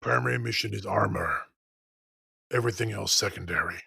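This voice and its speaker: A man voicing a gruff, terse male soldier who talks in clipped military orders, with a very deep, gravelly voice. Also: brisk, sharp tone, dry recording, studio quality, full character voice. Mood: curt.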